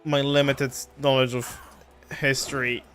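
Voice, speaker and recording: A man from Poland, a native Polish speaker with a Polish accent, casually chatting with viewers while playing a game, halting and restarting his words. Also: mid-range voice, faint music behind. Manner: relaxed, halting, frequent restarts. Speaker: streamer. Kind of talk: casual live-stream chat while gaming.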